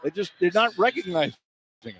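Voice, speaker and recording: A man gives live radio play-by-play of a basketball game in a somewhat high voice. His phrasing is clipped and keeps running with the action, punching up on big plays. Faint arena noise sits behind him. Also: expressive, brisk, a bit bright, poor audio. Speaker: play-by-play announcer. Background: arena ambience.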